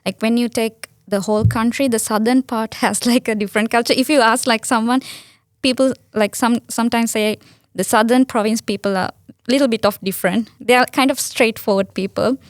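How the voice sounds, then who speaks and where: A woman's voice: a little high, fairly even intonation, fairly quick, no room sound, clean studio sound. A young woman from Sri Lanka with a Sri Lankan accent, a native Sinhala speaker, answering interview questions earnestly with many restarts and fillers. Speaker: guest.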